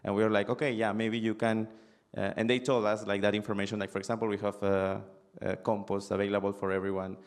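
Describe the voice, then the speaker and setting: A young man, a student on a panel, telling an example in a halting way full of fillers and restarts. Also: on the low side, level tone. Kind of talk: panel discussion anecdote.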